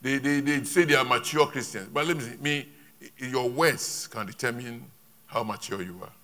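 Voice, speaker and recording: A male preacher with a bright, forceful voice delivers a sermon in short emphatic bursts. He repeats his points and leaves pauses for an interpreter. The sound comes from a church service. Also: mid-range voice.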